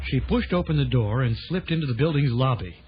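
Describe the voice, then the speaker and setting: A man's voice: mid-range voice, expressive, brisk, a little hiss or hum. A man narrating a children's adventure audio story in a dramatic scripted style. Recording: dull lo-fi 1980s tape, music behind.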